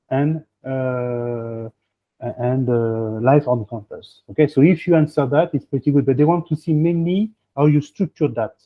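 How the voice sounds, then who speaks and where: Man, French accent, mid-pitched, rambling advice, keeps saying okay, tinny video call line. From France. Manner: rambling, peppers speech with "okay?" and "huh?". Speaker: admissions coach hosting a webinar. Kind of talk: advice in an online webinar.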